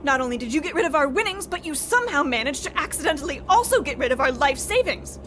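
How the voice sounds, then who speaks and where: Woman acting high-voiced, melodramatic radio-comedy wife. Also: very animated, quick pace, a bit bright. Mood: arch. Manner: wordy, arch, melodramatic. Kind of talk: mock old-time radio comedy acting. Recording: clear background noise.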